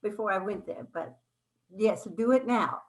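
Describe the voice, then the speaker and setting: A woman's voice: medium pitch, normal speed. An older woman leads a casual panel discussion and tells stories in a loose, meandering way. She restarts her sentences often. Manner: rambling, warm reminiscing with many restarts and laughs. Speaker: panel moderator and voice teacher.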